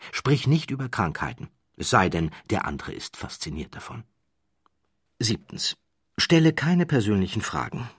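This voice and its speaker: A deep-voiced man reads a scripted story as an audiobook narrator. His tone is husky, his phrasing deliberate with theatrical emphasis. It is recorded close and very dry. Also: no echo. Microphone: close studio microphone, dry.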